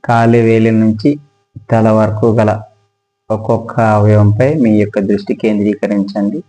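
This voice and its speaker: A man leads guided yoga relaxation in a low, mellow voice. His delivery is slow, calm and very even, leaving pauses between the instructions. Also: poor audio.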